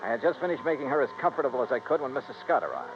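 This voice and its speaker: An actor playing a wry, polite American insurance investigator in a 1950s radio detective drama. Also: male voice, mid-range voice, huge pitch swings, rapid-fire, over music. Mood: narrating, matter-of-fact. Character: wry, gentlemanly freelance insurance investigator hero. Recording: muffled, hissy vintage radio recording.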